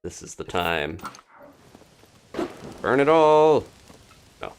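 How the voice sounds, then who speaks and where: A man streaming a video game, giving casual live commentary and chatting as he thinks out loud. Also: lowish voice, big pitch swings, drawn-out words, a little hiss or hum.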